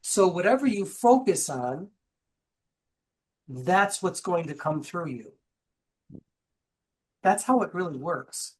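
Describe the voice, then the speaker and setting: A man getting over a cold, a guest channeler explaining his views in a measured, emphatic way. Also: sits high, animated. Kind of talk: casual interview chat.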